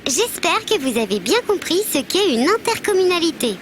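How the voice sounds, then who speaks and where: A woman's voice: very high-pitched, very animated, moderate pace, a little hiss or hum. A woman voicing a friendly bird mascot who presents scripted civics explainers in a didactic, structured way. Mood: instructive, friendly. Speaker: presenter of an educational programme. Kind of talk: scripted educational narration.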